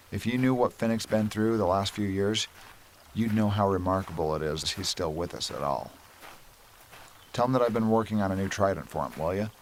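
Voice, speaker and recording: Low-voiced, kindly male inventor, played by a man. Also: close to an everyday voice. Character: Beetee, kindly, enthusiastic male weapons inventor. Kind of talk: amateur radio drama acting. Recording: faint background noise.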